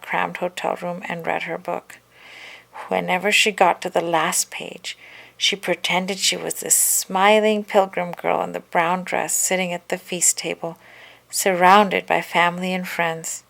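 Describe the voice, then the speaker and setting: A woman narrating a children's picture book aloud in an expressive, scripted storytelling style. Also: on the low side, measured pace, gravelly.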